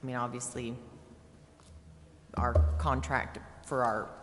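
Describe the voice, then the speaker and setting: Very deep-voiced woman, flat intonation. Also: takes it slowly, faint music behind. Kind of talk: meeting discussion. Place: council chamber. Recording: echoey, reverberant council chamber.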